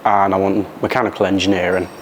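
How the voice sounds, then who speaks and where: Relaxed young man, low voice. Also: fast talker, a little hiss or hum. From Cornwall. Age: young adult. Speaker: student rally team member. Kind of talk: casual interview answers.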